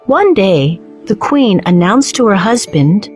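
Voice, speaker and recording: A woman reading a children's storybook as narrator, with measured, clearly phrased delivery. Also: medium pitch, very animated, a little mellow, faint music behind.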